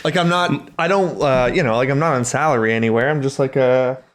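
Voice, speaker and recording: A man's voice: medium pitch, a little fast. Slangy, sweary male podcast co-host. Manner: profane, slangy. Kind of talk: casual comedic podcast chat.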